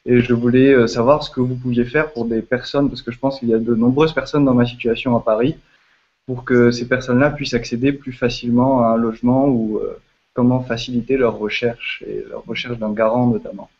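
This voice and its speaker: A man in his twenties, from Belgium, asking a question slowly with many fillers in a video chat. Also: mid-range voice, fairly even intonation, a little mellow. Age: 20s. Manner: slow, hesitant, many fillers. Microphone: video call. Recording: dull lo-fi video call audio.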